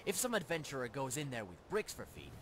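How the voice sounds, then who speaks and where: Man acting a sly fence with swooping pitch. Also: huge pitch swings, quick pace. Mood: businesslike, conspiratorial. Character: shady male fence and thief boss, sly, businesslike. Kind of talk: video game character dialogue. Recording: noisy ambience behind the voice.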